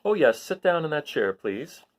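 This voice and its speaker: A man's voice: a little high, sing-song, moderate pace. A man voicing a little humbug wizard in a storybook, courteous and reassuring.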